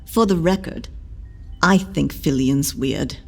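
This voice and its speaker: A woman playing an elderly matron healer who is blunt, motherly and teasing, and who talks in a slow, dry, scolding way. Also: deep voice, vocal fry, a little mellow, exaggerated voice. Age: older. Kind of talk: audio drama acting.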